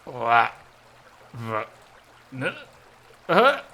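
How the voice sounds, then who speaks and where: A man's voice: mid-range voice, big pitch swings, drawn-out words, pushed and bright, exaggerated voice. A man voicing a naive, flustered young male bat who stammers in disbelief and embarrassment in a visual novel reading. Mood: shocked, squealing. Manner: stammering, incredulous, easily embarrassed.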